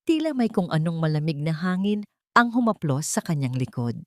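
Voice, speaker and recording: A woman's voice: sits low, sing-song, moderate pace, no room sound, studio quality. A woman narrator reading a scripted love story in a dramatic audio-drama style.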